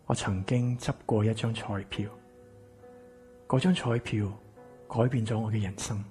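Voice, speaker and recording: A man's voice: lowish voice, steady tone, unhurried, warm tone, soft background music, lightly acted. A man playing a kind, wistful man with family regrets in a Cantonese radio drama. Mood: sober, reflective.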